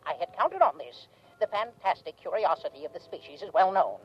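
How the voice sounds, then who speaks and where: A scheming alien of vintage radio drama. The delivery is formal, self-pitying and theatrical, with pitch that sweeps widely up and down. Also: female voice, lively intonation, a little fast, husky, dull audio. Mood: smug, scheming. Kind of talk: 1950s radio acting, scripted narration.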